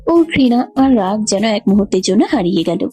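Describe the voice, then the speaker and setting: A woman's voice: medium pitch, expressive, brisk, mellow, soft background music. A woman narrating a scripted romantic story.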